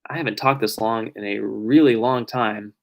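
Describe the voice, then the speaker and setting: A young man chatting casually on a live stream and answering viewer questions, rambling and correcting himself, his voice growing raspy from talking for an hour. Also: lowish voice, fairly even intonation, normal speed. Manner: rambling, self-correcting, trailing off quietly. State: voice getting raspy from long talking.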